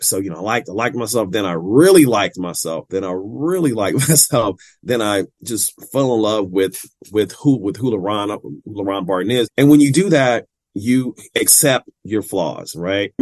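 A man's voice: lowish voice, no room sound. A man in his forties from Kansas City, a writer and interview guest, speaking casually and earnestly with a stutter that makes him repeat words as he restarts. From Kansas City, Missouri. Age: 40s.

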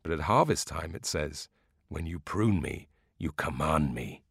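A man's voice: very deep voice, lively intonation, measured pace, gravelly, a bit bright, breathy edge, no room sound, studio quality, pitched below the performer's own voice, full character voice. A man voicing a genial, playful Greek villager explaining country lore in a folksy audiobook story. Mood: playful, wry.

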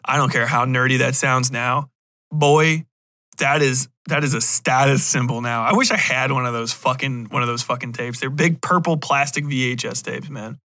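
Bright-voiced, profane American man rambling. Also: mid-range voice, steady tone, moderate pace. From Cincinnati, USA. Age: 30s. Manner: profane, slangy, opinionated. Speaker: podcast host. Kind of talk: casual rambling podcast monologue.

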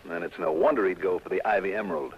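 An actor with an American accent playing a brisk, insistent insurance investigator in a 1960s radio drama. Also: male voice, deep voice, breathy edge. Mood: shrewd, pressing. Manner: clipped, insistent questioning. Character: brisk, hard-driving male private insurance investigator. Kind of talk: 1960s radio drama acting with first-person narration. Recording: old muffled radio recording with hiss.

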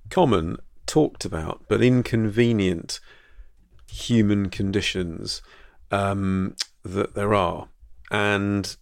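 A man talks as a doctor on his own podcast. His voice sits very low with a gravelly fry, and he speaks in a relaxed, conversational way, pausing on fillers and restarting phrases. The sound is dry and close to the mic. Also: no echo. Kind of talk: solo educational podcast talk.

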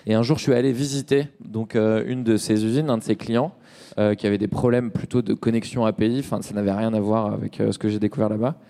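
A man's voice: on the low side, fairly even intonation, quick pace, warm tone, big-room sound. A man in his thirties from France talking casually and informally in an interview, with slangy, hesitant phrasing. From Nantes, France. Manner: informal and slangy, hesitant with many trailing tags. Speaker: startup co-founder, podcast guest.

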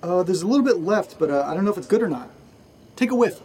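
An erratic, oddly chipper male housemate in a horror audio drama. His delivery is very lively in pitch, childlike and breezy, then abruptly insistent. There is faint noise behind him. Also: quick pace. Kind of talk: naturalistic audio drama dialogue.

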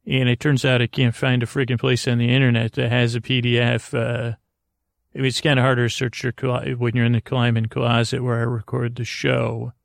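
A man with a gravelly, creaky voice hosts a sleep podcast. He rambles and stammers his way through long digressions, often restarting. The sound is close and dry, recorded in a closet. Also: medium pitch, little pitch movement, a little fast, no echo. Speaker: podcast host.

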